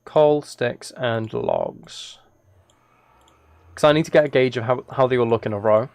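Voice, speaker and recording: A man's voice: mid-range voice, sing-song, unhurried, creaky, darkish tone, dry recording. A man giving casual gaming livestream commentary, thinking aloud and correcting himself as he chats with viewers. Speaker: live streamer.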